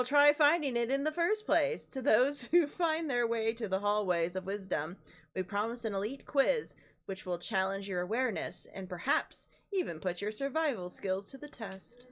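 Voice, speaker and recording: Woman, hoarse, losing her voice, game streamer, casual and laughing, mid-pitched. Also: lively intonation, moderate pace. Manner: laughs often, swears casually. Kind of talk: casual gameplay chat, reading in-game notices aloud.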